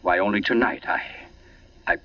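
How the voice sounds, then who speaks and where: A man's voice: lowish voice, normal speed, lightly acted. An actor playing a suave, sinister author in 1940s radio suspense, urbane and theatrical, savoring his words. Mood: urbane relish. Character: suave, sinister male author with a taste for poisoners. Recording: muffled, noisy 1940s radio recording, distant.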